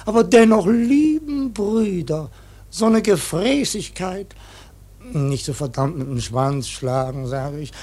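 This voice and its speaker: An actor in a radio play voicing an ancient, stubborn ship's cook who preaches piously and grumbles with curses in a husky voice. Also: male voice, high-pitched, lively intonation, slow pace, darkish tone, full character voice. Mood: preachy, exasperated. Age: older. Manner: grumbling mock-preacher, swears constantly. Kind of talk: German radio play acting.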